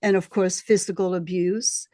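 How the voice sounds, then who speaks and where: A woman with a Swedish accent, a healer being interviewed, who speaks in a halting way and searches for her words. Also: lowish voice, steady tone, warm tone, dry recording. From Sweden. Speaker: guest, shamanic healer and astrologer. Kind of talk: casual interview.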